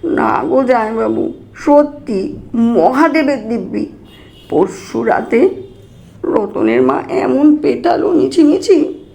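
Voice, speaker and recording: A woman's voice: fairly high pitch, expressive, takes it slowly, vocal fry, long pauses, dark tone, heavily acted. Woman voicing a plaintive, henpecked male house servant. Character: meek, aggrieved male domestic servant, henpecked and whining.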